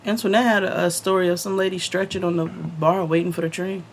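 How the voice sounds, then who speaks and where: Playful guy cohosting a comedy pod, kinda high voice, quick jokey storytelling. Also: level tone, slight background noise, low-quality recording. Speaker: podcast co-host. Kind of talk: casual comedic chat.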